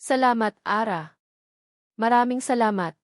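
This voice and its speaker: A woman's voice: on the high side, a little slow, dry recording, studio quality, close to an everyday voice. A woman with a Filipino accent voicing an earnest, devoted father pleading with his former love in a romance story. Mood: hopeful, gentle.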